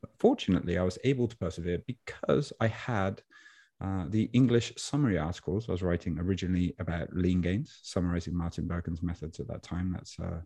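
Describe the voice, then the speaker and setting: Low mellow-voiced man telling stories. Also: brisk, husky. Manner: animated, laughs while telling stories. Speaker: podcast guest, online fitness coach. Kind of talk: casual storytelling interview. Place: Japan.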